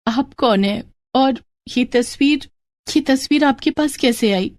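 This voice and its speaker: A woman voicing a gentle, polite young village woman in a storybook drama, speaking earnestly. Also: high-pitched, takes it slowly, pitched above the performer's own voice. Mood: puzzled, shaken.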